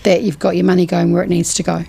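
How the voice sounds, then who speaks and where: A woman's voice: lowish voice, level tone, quick pace, gravelly, warm tone, husky. A woman, a guest on a radio show, explaining financial services with lots of "um"s. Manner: explanatory, uses many fillers. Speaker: guest from a trust organisation.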